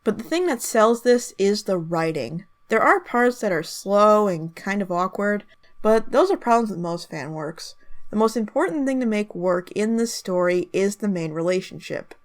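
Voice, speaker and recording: A woman narrating a review in the style of an online video commentary. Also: mid-range voice.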